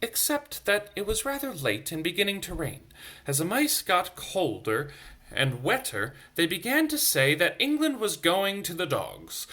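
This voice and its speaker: A man reading a children's bedtime story aloud in an expressive, dramatic storyteller's manner. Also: on the high side.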